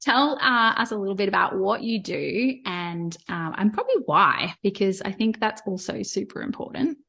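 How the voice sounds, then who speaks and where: An Australian woman hosting a podcast, reading an upbeat scripted intro and then chatting warmly with a guest. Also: medium pitch, lively intonation, moderate pace, creaky, breathy edge. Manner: upbeat and enthusiastic, with some filler words in conversation. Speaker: podcast host and life coach.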